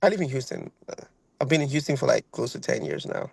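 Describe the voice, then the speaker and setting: A man's voice: medium pitch, wildly swooping pitch, fast talker, vocal fry, darkish tone, slightly rough, dry recording, studio quality. A man in his thirties with a Nigerian accent, a guest violinist chatting over a video call, rambling with lots of fillers and repeated phrases. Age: 30s.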